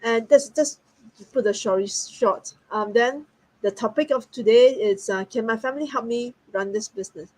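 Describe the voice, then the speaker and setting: A woman's voice: on the high side, normal speed, rough recording. A woman from Singapore, talking as a guest in a friendly, earnest way. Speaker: guest business partner. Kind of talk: casual online talk.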